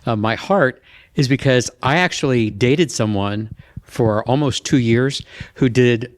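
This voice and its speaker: A man's voice: mid-range voice, a little slow. A man in his sixties talking earnestly and conversationally as a dating show contestant. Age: 60s.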